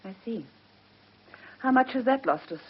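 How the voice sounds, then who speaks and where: Radio play wife: British, tart tongue, anxious edge, raised pitch, dull old recording. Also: female voice, animated, measured pace, husky. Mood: tart, sarcastic. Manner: tart, challenging. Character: sharp-tongued, anxious barrister's wife and mother.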